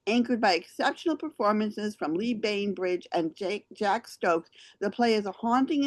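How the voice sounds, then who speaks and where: A woman's voice: medium pitch, no room sound. A woman hosting a review show, reading out write-ups and giving her views on theater.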